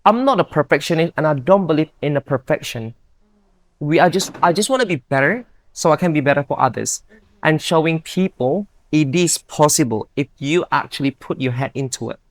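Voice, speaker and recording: A woman's voice: sits low, lively intonation, a little fast, no room sound. Upbeat Indonesian-accented woman, dry sound. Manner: upbeat, earnest, non-native grammar. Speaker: guest, bodybuilder. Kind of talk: casual interview chat.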